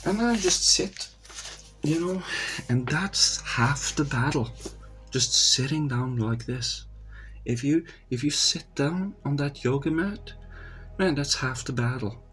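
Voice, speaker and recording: A man speaking English as a second language, calmly narrating his meditation in a slow, hesitant, soft-spoken way with many fillers, in a quiet room at night. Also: medium pitch, soft background music, low-quality recording. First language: not English. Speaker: meditation vlogger. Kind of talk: calm narrated live meditation.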